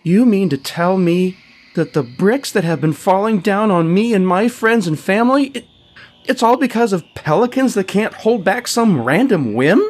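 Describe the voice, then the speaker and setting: Man as a fed-up little girl, high pitch, indignant, animated, storybook comedy. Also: moderate pace. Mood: indignant, exasperated. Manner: indignant, exasperated. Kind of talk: comic storybook character reading.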